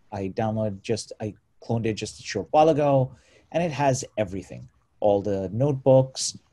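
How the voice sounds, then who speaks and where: A man with a lowish, mellow voice talks through a coding tutorial over a video call. His delivery is informal, with quick asides and frequent self-corrections. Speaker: meetup presenter. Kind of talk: live screen-share tutorial.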